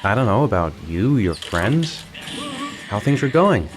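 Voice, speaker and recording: A patient, gentle father. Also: on the low side, sing-song, unhurried, music in the background. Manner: soft-spoken, patient, gently firm. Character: gentle patient father caring for his young son. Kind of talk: video game cutscene dialogue.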